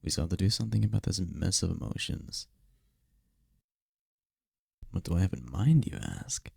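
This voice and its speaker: A man playing a tender, teasing incubus demon boyfriend in an intimate ASMR roleplay, speaking in a breathy, soothing, soft-spoken way. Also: very animated, normal speed, dry recording, studio quality, exaggerated voice. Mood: calm, comforting. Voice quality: breathy, gravelly low voice.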